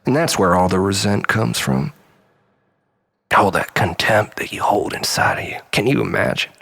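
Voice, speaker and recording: A man performing a theatrical first-person monologue as a storyteller, melodramatic, swinging between exclamation and breathy hush. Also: fairly low pitch, moderate pace.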